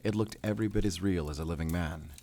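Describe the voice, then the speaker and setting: A man playing a brooding, world-weary werewolf hunter in an audio roleplay, speaking in a measured, solemn, introspective way. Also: sits low, dark tone, a little hiss or hum. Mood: solemn storytelling. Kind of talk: cinematic audio roleplay acting.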